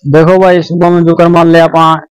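A man's voice: fairly high pitch, monotone, moderate pace, smooth, no room sound, low-quality recording. Young Haryanvi man interviewing outdoors. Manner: chatty, repeats answers back. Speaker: livestock video host. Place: outdoors in a village animal yard.

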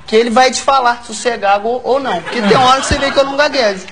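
High-pitched stuttering Brazilian man, loud crowd. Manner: halting, repeats words and phrases. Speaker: talk show guest, local politician. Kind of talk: comic anecdote in a talk show interview. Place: large TV studio with audience. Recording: lo-fi TV studio tape, loud audience noise. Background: loud audience laughter.

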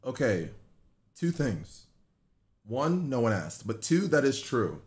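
A man's voice: medium pitch, very animated, takes it slowly, a little mellow. An American man in his twenties chatting casually on a live stream, joking and teasing in slangy speech. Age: 20s. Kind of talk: casual chat with viewers and partner. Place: small bedroom, indoors.

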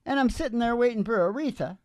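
A middle-aged man hosting a music show, chatting casually and telling rambling, animated stories. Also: very high-pitched, fast talker, dry recording, studio quality. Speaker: singer and music show host. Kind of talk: casual chat and storytelling between songs. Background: acoustic guitar.

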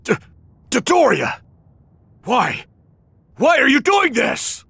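A man playing a hot-blooded, defiant warrior in an English anime game dub, with a gruff, strained voice and intense, forceful delivery. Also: very high voice, wildly swooping pitch, slow, dry recording, exaggerated voice. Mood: shocked.

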